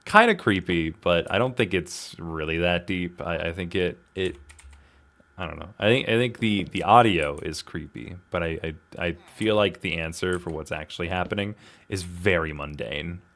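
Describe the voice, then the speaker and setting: Deep-voiced guy gaming casually. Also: moderate pace, a bit bright. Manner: self-deprecating, slangy, exclamatory. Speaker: gaming video host. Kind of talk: casual gameplay commentary, talking to himself.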